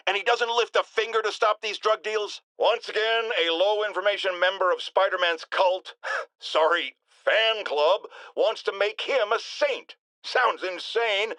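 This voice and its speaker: Blustering, sarcastic male radio host for a game: middle-aged, outraged, high and animated, theatrical monologue delivery, dry studio sound. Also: no room sound, rough recording. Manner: pompous, sarcastic, emphatic, theatrical.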